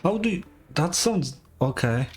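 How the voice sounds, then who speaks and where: A man's voice: on the high side, lively intonation, measured pace. A man giving casual, informal, sweary reactions as he streams a game playthrough. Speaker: gaming streamer. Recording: game music behind the voice.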